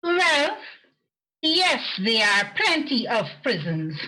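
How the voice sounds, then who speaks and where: Bright, lilting charity lady played by a woman. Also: on the high side, sing-song, full character voice, poor audio. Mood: earnest. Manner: polite, earnest, deliberate. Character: cheerful, genteel lady collecting for the poor. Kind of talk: amateur radio play acting. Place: performed remotely over a video call. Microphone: video call.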